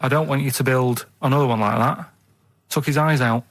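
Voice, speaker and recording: A man chatting casually on radio, telling stories in a halting, colloquial, rambling way. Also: medium pitch, fairly even intonation, fairly bright tone, no room sound. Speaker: radio show co-host. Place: radio studio.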